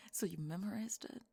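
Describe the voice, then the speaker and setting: A woman performs a young goth college woman in an intimate ASMR audio drama. She is defensive and sharp-tongued, hiding a crush behind clipped, halting, emphatic phrases. Her voice sits at a medium pitch in a very dry, close recording. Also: gravelly, husky, no room sound, full character voice. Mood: indignant, flustered. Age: young adult.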